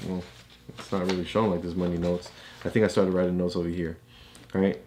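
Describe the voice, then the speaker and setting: A man with a very low, deep voice talks in a casual teaching style. He is encouraging and explains things in simple words, as if to a young child. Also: level tone, fairly quick, a little mellow, a little hiss or hum. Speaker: parent co-host coaching a child reader.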